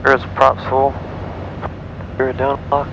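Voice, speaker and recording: Male pilot-vlogger, American, average pitch, casual headset talk in a noisy small-plane cockpit. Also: completely flat delivery, slow, gravelly, lo-fi sound. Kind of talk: aviation radio calls and casual vlog talk. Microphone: aviation headset via audio cable. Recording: loud small aircraft engine noise.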